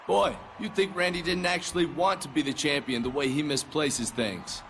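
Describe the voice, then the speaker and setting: A man's voice: on the high side, moderate pace, a bit bright, a little hiss or hum. An actor playing a sneering, gloating young male wrestler in a video game cutscene.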